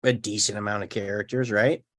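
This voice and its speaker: A man's voice: fairly low pitch, steady tone, a little fast, a bit bright, slight background noise. A man chatting casually in a group discussion, talking in an informal, colloquial way. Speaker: discussion participant. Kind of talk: casual chat.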